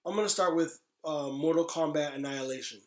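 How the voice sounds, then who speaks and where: Guy cohosting a podcast, kinda high voice, echoey room, slangy and opinionated, casual fan chat. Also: a little fast. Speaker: podcast co-host. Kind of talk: casual chat about games and movies.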